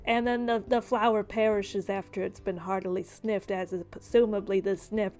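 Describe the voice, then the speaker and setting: A woman's voice: mid-range voice, steady tone, normal speed, a little mellow, soft background music. A woman improvising as game master and explaining things conversationally in a tabletop roleplaying game. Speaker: game master voicing a shopkeeper in a tabletop RPG podcast.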